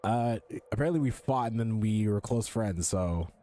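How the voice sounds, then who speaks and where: A man's voice: on the low side, lively intonation, moderate pace, dark tone. A young man giving casual playthrough commentary as a gaming streamer, blunt and slangy, swearing and repeating his phrases. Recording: game music faintly behind voice.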